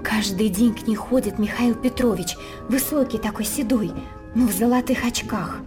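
An actress playing a sympathetic household gossip in a radio drama, hushed and confiding. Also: female voice, fairly high pitch, normal speed, music in the background, natural voice.

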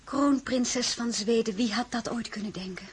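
An actress playing a modest but stubborn young crown princess in an audio drama, halting when flustered. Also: female voice, fairly high pitch, breathy edge. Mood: startled, amazed. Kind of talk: Dutch audio drama acting with narration.

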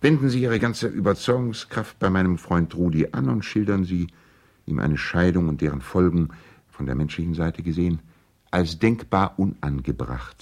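An actor playing an earnest, conciliatory lawyer in a 1970s radio play, reading a formal letter in a deliberate, gently reproving way. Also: male voice, deep voice. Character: measured, conciliatory lawyer and loyal family friend, gently reproving.

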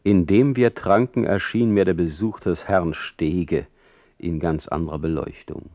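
A man's voice: sits low, a little slow, darkish tone, no echo, muffled recording. An actor playing a proud, ironic, brooding poet in a radio play, a man talking in a rhetorical, theatrical manner. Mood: suspicious, brooding. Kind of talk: German radio play acting, letter monologue.

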